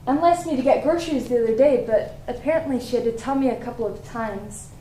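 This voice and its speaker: Stage monologue: actress as a devoted middle-aged mother, highish voice, earnest and measured, in a roomy hall. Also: dark tone, natural voice. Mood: apologetic, loving. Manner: tender, earnest, measured recitation. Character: loving, forgetful middle-aged mother reading her diary. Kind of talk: dramatic monologue in a one-act play. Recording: echoey stage, background noise.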